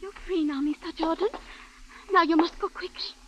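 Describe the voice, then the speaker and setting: An actress playing a gentle, deferential Chinese woman, soft-spoken and formal, in an old radio drama. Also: very high-pitched, moderate pace, lo-fi sound. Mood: quiet, evasive. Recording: faintly noisy vintage radio recording.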